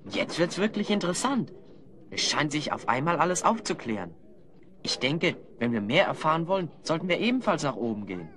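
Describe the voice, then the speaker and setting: A man's voice: on the high side, very animated, fairly quick, a bit bright. A man playing a bold, decisive fantasy hero in an audio drama, with a commanding, declamatory manner. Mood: curious, resolute.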